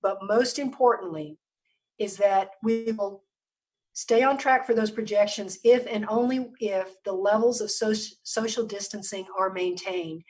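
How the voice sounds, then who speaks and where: A woman public health official giving a measured briefing over a video call, hoarse and losing her voice, often stopping to clear her throat. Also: medium pitch, a little mellow, far from the mic, poor audio. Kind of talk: briefing presentation on a virtual meeting.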